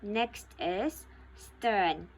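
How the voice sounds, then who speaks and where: A schoolteacher takes a vocabulary lesson for young children at a very unhurried pace. She explains each word slowly, repeats it, and rounds off nearly every phrase with a questioning "okay?". A faint hiss of noise runs underneath. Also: medium pitch. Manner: slow and deliberate, repeats each definition, ends phrases with "okay?". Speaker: school teacher.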